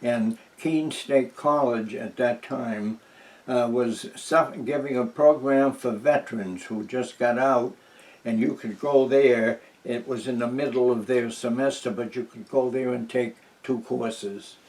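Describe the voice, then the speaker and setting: A man in his nineties from New Hampshire, speaking very slowly and circling back through restarts, fillers and soft chuckles. He sits a little away from the microphone, so his voice sounds distant. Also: medium pitch, fairly even intonation. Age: 90s. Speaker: interviewee, veteran. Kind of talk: oral history interview. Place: at home.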